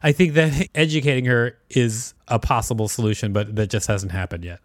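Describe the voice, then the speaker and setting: A man's voice: mid-range voice, steady tone, a little fast, no room sound, studio quality. A man co-hosting a podcast, reading an article aloud and discussing it casually. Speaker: podcast co-host.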